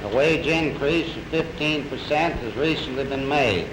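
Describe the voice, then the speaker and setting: A man's voice: medium pitch, normal speed. American man, echoey vintage statement. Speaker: steel industry executive. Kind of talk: formal prepared statement. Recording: noisy, roomy 1930s newsreel recording.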